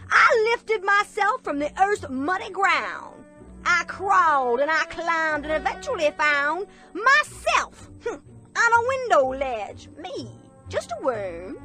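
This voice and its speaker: A woman's voice: very high-pitched, pushed and bright, full character voice. A woman playing an indignant, self-righteous earthworm witness with a haughty, theatrical delivery in a comic rhymed radio play. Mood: self-important.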